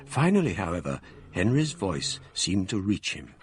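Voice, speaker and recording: An actor narrating a radio drama in a measured, formal storytelling manner. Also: male voice, sits low, big pitch swings, a little hiss or hum. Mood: reflective. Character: grown man recalling past events.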